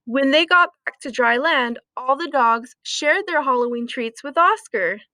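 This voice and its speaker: A woman reading a children's story aloud expressively, with deliberate dramatic emphasis. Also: sits high, lively intonation, sharp tone, no room sound, clean studio sound. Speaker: storytime reader.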